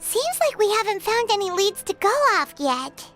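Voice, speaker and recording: A woman voicing a tiny, curious, excitable fairy girl in an anime-style video game, with a squeaky childlike voice. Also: normal speed, exaggerated voice. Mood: curious, doubtful. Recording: music playing behind.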